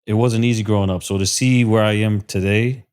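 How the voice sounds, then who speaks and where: A man's voice: lowish voice, fairly even intonation, a little fast, darkish tone, clean tone. A man from South Florida, a podcast guest, talking earnestly and emotionally with many fillers and restarts. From South Florida, USA. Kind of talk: casual interview talk.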